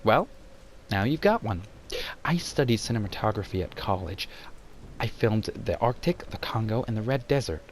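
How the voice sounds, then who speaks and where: A man's voice: lowish voice, lively intonation, normal speed, slight background noise. A man voicing an earnest, determined young woman archaeologist in a comic reading. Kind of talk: comic book voice acting.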